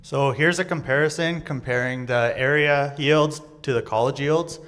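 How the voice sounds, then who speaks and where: A man's voice: medium pitch, fairly even intonation, moderate pace, fairly bright tone, slight background noise. Young guy from saskatchewan reading crop numbers off slides, long hall echo. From Saskatchewan, Canada. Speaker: agriculture student presenter. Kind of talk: class presentation from slides. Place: college auditorium stage.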